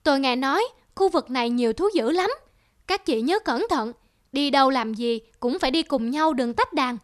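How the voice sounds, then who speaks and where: A woman voicing a cautious, sisterly cow in an animated fable, earnest and companionable. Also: high-pitched, moderate pace, no echo, clean studio sound. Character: cautious, sisterly cow grazing with her herd.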